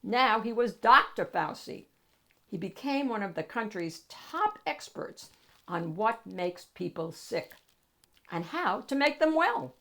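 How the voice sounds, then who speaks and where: A woman narrating a children's picture book in an expressive, emphatic storytelling manner with deliberate phrasing. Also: mid-range voice. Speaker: storybook reader, read-aloud host.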